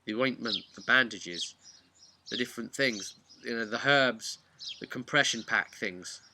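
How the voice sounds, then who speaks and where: A man giving an informal, animated, chatty explanation of tabletop roleplaying rules. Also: medium pitch, a little slow, slight background noise. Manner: animated, chatty, acts out imagined player remarks. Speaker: tabletop game master giving advice.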